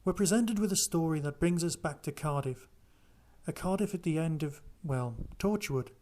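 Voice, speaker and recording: A man's voice: fairly high pitch, moderate pace, a little mellow. A man hosting a podcast solo, chatting casually through listener news and reviews. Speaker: podcast host.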